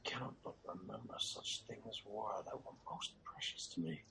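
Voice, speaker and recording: A man's voice: fairly low pitch, normal speed, gravelly, pushed and bright, a little hiss or hum. A man playing an emotional, grave nobleman in a Shakespearean radio drama, speaking dramatic verse. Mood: grief-stricken. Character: Macduff, a grief-stricken Scottish nobleman turned vengeful.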